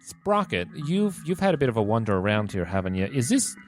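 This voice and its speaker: A man's voice: lowish voice, very animated, brisk, soft background music. A man talking casually as a player in a tabletop roleplaying game, often questioning.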